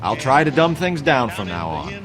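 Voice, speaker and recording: A man's voice: mid-range voice, very animated, moderate pace, a crowd in the background, lightly acted. A man playing an earnest, bookish young American police detective in a game, clipped and precise. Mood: dry, pedantic. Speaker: video game voice actor. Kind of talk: scripted detective drama acting.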